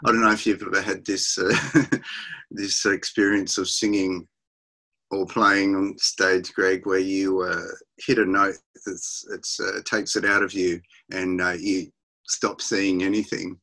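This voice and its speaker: A middle-aged Australian man, a musician, chatting over a video call from his home music room with halting, restarting speech. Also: low-pitched, level tone, sharp tone. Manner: halting, many restarts and fillers, laughs often. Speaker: musician guest.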